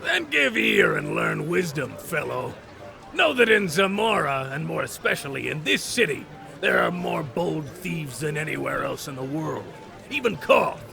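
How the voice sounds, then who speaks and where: A fat, boastful kidnapper rogue with a very gravelly, crackling voice that swoops up and down. He blusters with lecherous, mocking theatricality in a fantasy audio drama, over prominent music. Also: on the high side, heavily acted.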